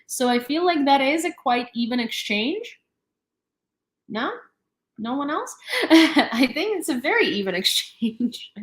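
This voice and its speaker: A young woman from Ukraine with a Ukrainian accent, hosting a casual livestream commentary, sarcastic and animated, laughing between remarks. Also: sits high, measured pace, roomy sound. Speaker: news channel host.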